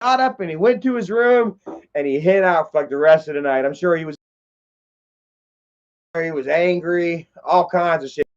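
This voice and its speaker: A man's voice: fairly high pitch, normal speed, pauses often. A man in his thirties telling a rambling, profane story in casual livestream chat, full of fillers and repeats. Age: 30s. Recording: dull lo-fi stream audio.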